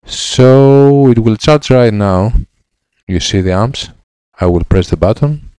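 Greek-accented man, deep, slow, hesitant repair tutorial, headset mic, dry sound. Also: animated, warm tone, clear, resonant tone, dry recording. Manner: hesitant, many uh fillers, halting phrases. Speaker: repair technician. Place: electronics repair lab, indoors.

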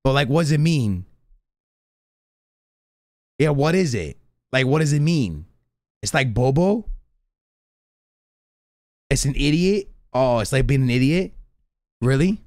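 A man around his thirties streams from a small room on a close, dry studio mic. He has a mid-pitched voice and chats casually with his viewers in slang. His delivery is that of a reaction streamer. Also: expressive, slow, pauses often, darkish tone, no echo. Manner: slangy, addresses live chat. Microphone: close studio mic on boom arm.

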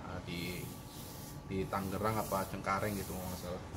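A man's voice: deep voice, little pitch movement, a little fast. A man, chatting casually about his motorbike with lots of fillers, filmed on a camera held at arm's length on a covered porch outdoors. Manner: slangy, chatty, many fillers and restarts. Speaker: motorcycle vlogger. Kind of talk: casual review chat. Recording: noisy outdoor ambience.

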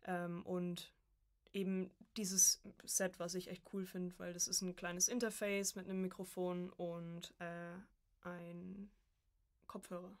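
A woman's voice: on the low side, little pitch movement, takes it slowly. A woman in her early twenties chatting informally as a podcast guest, telling her story in a casual way. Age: early 20s. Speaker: podcast guest, voice acting student. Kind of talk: casual conversational interview.

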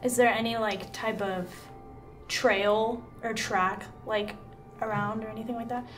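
A woman's voice: on the high side, fairly even intonation, a little slow, soft background music. A young woman playing a tabletop roleplaying game, asking casual questions of the game master. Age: young adult. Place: indoor studio set, seated at a table.

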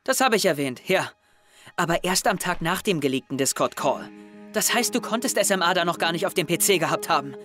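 A man's voice: fairly high pitch, animated, fairly quick, natural voice. Young guy acting anxious teen schoolboy in a radio play, hurt and intense, suspicious, music behind him. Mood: accusing, hurt. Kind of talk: German audio drama acting, confessional narration and dialogue.

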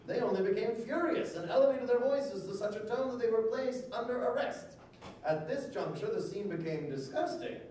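High-voiced man declaiming in an echoey room. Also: darkish tone, distant mic, a little hiss or hum. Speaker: reader at a public event. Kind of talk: dramatic reading of old newspaper articles aloud to an audience. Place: hard-walled room with small stage. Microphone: stand mic, recorded by far camera.

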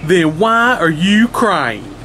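A man's voice: sits high, very animated, takes it slowly, pushed and bright, a little hiss or hum, full character voice. A man voicing a friendly, not very scary male monster in a storybook reading. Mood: smug. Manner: eager, lifted storybook voice.

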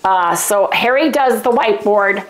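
An older woman with a cold hosting a home cooking vlog, chatting casually to her viewers in a hoarse, throat-clearing voice. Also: medium pitch, animated, measured pace, poor audio. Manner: chatty and folksy, addresses viewers as "you guys". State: sick, losing her voice, coughing. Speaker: keto cooking channel host. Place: home kitchen, indoors. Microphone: lapel mic.

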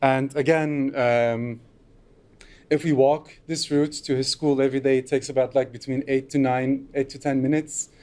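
A man's voice: mid-range voice, little pitch movement, normal speed, a little mellow. A middle-aged man from Turkey giving a conference talk at a lectern, loose and unscripted, with frequent fillers. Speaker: conference speaker. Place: lecture room, at a lectern.

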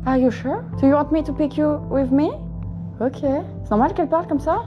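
A young woman streamer who chats and giggles while exploring a haunted house and speaks halting English. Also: high-pitched, highs cut off. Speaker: streamer host. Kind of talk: playful reactions while exploring. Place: indoor haunted house attraction. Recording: echoey rooms with music.

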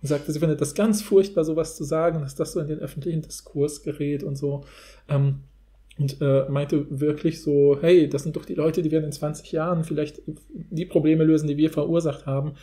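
A man's voice: a little high, fairly even intonation, fairly quick, mellow. A man hosting a podcast, talking in a casual, rambling and informal way. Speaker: podcast host. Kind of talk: casual discussion.

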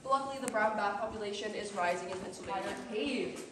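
A young woman guiding a cave tour, explaining the formations to a group in a measured, informative way. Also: mid-range voice, background noise. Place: large cave, indoors. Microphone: far camera held by a visitor. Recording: echoey cave recorded from far off.

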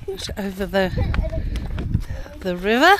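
A woman's voice: medium pitch, very animated, slow, background noise. An older woman narrating a casual travel vlog while hiking. She is out of breath, chatty and teasing, and grumbles about the heat and the flies. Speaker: travel vlogger. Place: outdoors on a hot bush trail and lookout. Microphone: wearable action camera.

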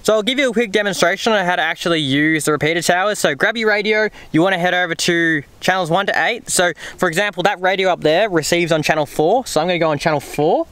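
A man's voice: sits high, quick pace, hardly any pauses, a bit bright, smooth, no room sound. A man in his twenties with an Australian accent, casually explaining to camera as a vlog host outdoors. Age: 20s. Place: outdoors in dry bush clearing beside a 4WD.

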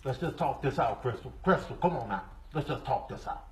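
A man's voice: medium pitch, expressive, fairly quick, darkish tone, natural voice. A man voicing a heartbroken, humble male hawk who pleads repetitively in a comedy animal voiceover. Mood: pleading. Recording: roomy, distant, noisy.

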